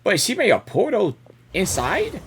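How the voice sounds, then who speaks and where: A woman's voice: medium pitch, wildly swooping pitch, measured pace, background noise. A woman gamer giving casual reaction commentary, rambling, repeating words and exclaiming as she goes. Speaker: gamer reacting to a story quest. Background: game music.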